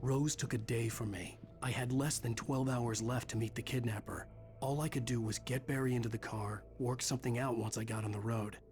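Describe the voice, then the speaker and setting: A male actor as a brooding thriller writer in a video game, telling his ordeal in the first person. The voice is mid-pitched, grave and weary. Light music plays behind it. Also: fairly even intonation, brisk, darkish tone, lightly acted. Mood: grim. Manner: grave, introspective. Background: background music.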